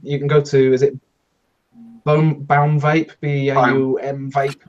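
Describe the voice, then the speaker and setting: A man's voice: mid-range voice, completely flat delivery, unhurried, darkish tone, dull audio. A British man co-hosting a casual panel chat show. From United Kingdom. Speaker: co-host of a vaping talk show.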